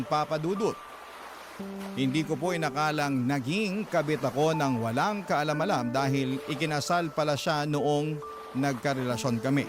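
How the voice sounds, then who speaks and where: Higher-voiced Filipino male storyteller. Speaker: radio drama narrator reading a listener's letter. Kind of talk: scripted first-person storytelling. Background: music bed.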